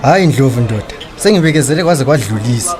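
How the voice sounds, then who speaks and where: An actor playing a streetwise, sarcastic creditor in a radio drama, confrontational and taunting, with a Zimbabwean accent. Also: male voice, medium pitch, lively intonation, quick pace, a crowd in the background, close to an everyday voice. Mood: indignant, threatening. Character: streetwise, sarcastic male creditor demanding his money.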